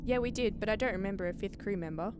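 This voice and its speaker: A woman playing a kind, protective spaceship crew member in an audio drama, talking in a gentle, reassuring and chatty way. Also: medium pitch, quick pace, background noise, close to an everyday voice. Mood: casual.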